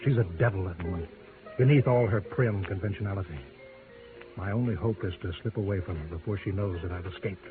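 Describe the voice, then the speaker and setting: A man's voice: sits low, close to an everyday voice. Man acting an agitated henpecked husband, muffled sound. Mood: anxious, desperate. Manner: agitated, halting, emphatic. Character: anguished henpecked husband desperate to escape his wife. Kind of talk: old-time radio drama acting. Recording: dull vintage radio recording with music.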